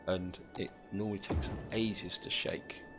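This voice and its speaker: A British man with a croaky voice from a chest cold, chatting casually as he thinks aloud through a city-building game. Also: sits low, fairly even intonation, a little slow, music in the background. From Britain. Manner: thinks aloud, chatty, addresses viewers as guys. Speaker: gameplay commentator.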